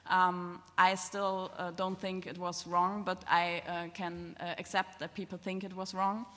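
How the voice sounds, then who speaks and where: A woman speaking unscripted as a panelist in a public discussion, halting and often searching for words. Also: on the low side, level tone, sharp tone. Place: large reverberant hall. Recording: echoey hall with long reverb.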